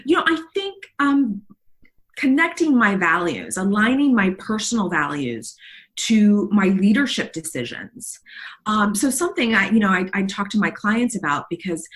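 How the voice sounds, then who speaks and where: A woman's voice: medium pitch, very animated, moderate pace. A woman in her forties from the South Texas Mexican border, Spanish her first language, a leadership coach answering interview questions in a rambling way full of fillers and restarts.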